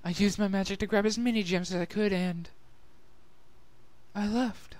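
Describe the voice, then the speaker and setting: A man voicing a refined young female pony who tells of a sorrowful past in a soft, measured, earnest way, in a dramatic story reading. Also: high-pitched, fairly even intonation, dry recording, pitched above the performer's own voice, close to an everyday voice. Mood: sad, reflective. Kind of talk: dramatic fan-fiction reading.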